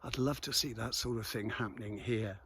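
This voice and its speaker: An older man with a fairly low voice gives a chatty, reflective monologue. He pauses and feels his way through his thoughts while out walking. Clear outdoor background noise sits behind him. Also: a bit bright. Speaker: local video blogger and commentator. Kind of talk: casual spoken monologue to an audience while out walking.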